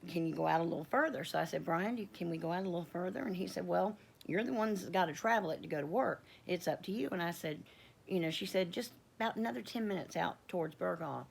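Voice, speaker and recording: A middle-aged woman with a croaky voice, giving a casual personal testimony in a rambling, halting way with many fillers and restarts. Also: lowish voice, poor audio. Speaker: homestead vlogger.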